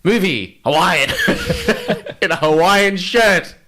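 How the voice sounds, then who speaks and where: A man's voice: sits high, lively intonation, slow pace, a crowd in the background. A man co-hosting a podcast, chatting casually and comically about films in a blunt, sweary way. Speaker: podcast co-host. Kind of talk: casual comedic film chat.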